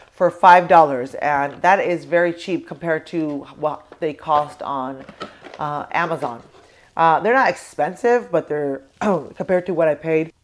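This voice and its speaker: Low-voiced American mom with a cold. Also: female voice, a little hiss or hum. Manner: chatty and rambling, with many ums and restarts. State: congested with a cold, sore throat. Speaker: toy review vlogger. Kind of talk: casual chat to camera.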